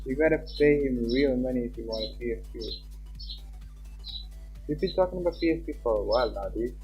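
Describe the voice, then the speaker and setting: A man of middle pitch, rambling casually through a live stream as he answers chat and fumbles with his stream setup. Faint music plays underneath his voice. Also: brisk, darkish tone, muffled recording. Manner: rambling, talks to himself while fiddling with stream settings.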